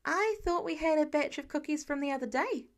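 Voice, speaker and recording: Woman doing a teen boy superhero from a story, high voice, sheepish, eager, kinda hesitant. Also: animated, a little fast.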